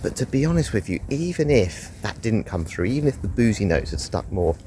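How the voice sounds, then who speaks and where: A man's voice: fairly low pitch, sing-song, brisk, background noise. A man with a head cold, chatting casually through a review while out on a walk, rambling and now and then sighing. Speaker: fragrance reviewer. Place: outdoors on a walk.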